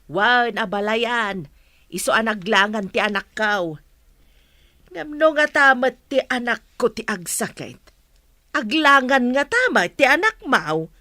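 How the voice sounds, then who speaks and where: Meddling mother, radio drama: middle-aged, outspoken, sharp bright tone, dry close sound. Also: a little high, lively intonation, unhurried, no room sound. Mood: complaining.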